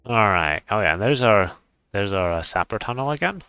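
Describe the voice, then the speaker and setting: Deep-voiced man, casual game commentary. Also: animated, a little fast, bright, forceful tone. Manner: offhand, rambling, thinking aloud. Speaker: gaming video creator.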